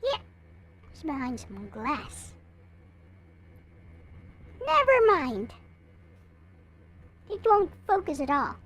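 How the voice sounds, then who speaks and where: Female streamer, high voice, unhurried pace, casual chat with viewers. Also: huge pitch swings, a bit bright. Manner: chatting casually to viewers, replying to comments. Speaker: livestream host. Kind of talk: casual livestream chat showing collectible figures.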